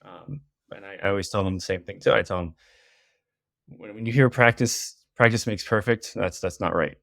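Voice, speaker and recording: A man's voice: lowish voice, normal speed, vocal fry, a bit bright, no echo, studio quality. A man who works as a teacher, chatting as a podcast guest, hesitant and often repeating words and saying "you know". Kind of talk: casual podcast conversation.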